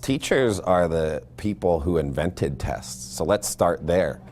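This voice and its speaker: A man's voice: deep voice, animated, slow pace, a little mellow. An American man, a schoolteacher, talking plainly in an interview. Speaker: seventh grade history teacher. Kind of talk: plain-spoken interview talk.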